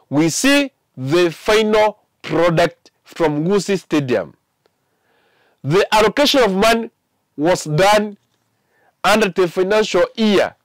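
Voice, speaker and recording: A young Kenyan man with a Kenyan accent, giving animated casual commentary to camera from a small indoor studio, with many fillers and mixed languages. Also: sits high, no echo. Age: young adult. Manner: animated, mixing English and Ekegusii, many fillers.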